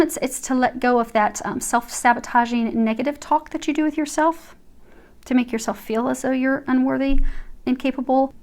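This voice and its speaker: A woman's voice: sits high, a little mellow. A woman giving an earnest, reassuring tarot reading, speaking straight to her viewers. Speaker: tarot reader.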